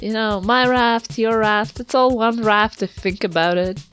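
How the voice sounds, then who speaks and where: A woman's voice: on the high side, normal speed, a bit bright, faint music behind, close to an everyday voice. A woman voicing a laid-back hippie slacker in a tabletop game, drawling and chilled out. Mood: chilled, dreamy.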